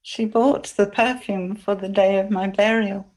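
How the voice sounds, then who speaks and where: A woman playing a grieving, devoted biblical woman, tender and anguished, in a halting, emotional dramatic monologue for church. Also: mid-range voice, fairly even intonation, a little mellow, close to an everyday voice, poor audio. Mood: weeping sorrow.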